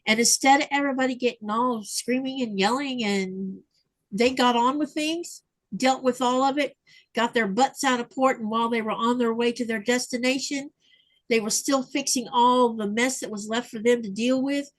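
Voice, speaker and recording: A woman hosting a home craft livestream talks in a relaxed, chatty way, full of ums and uhs. Her voice sits a little high. She reads viewer comments aloud and adds her own remarks. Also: normal speed, poor audio. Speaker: livestream host reading chat. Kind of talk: casual chat. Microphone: livestream mic.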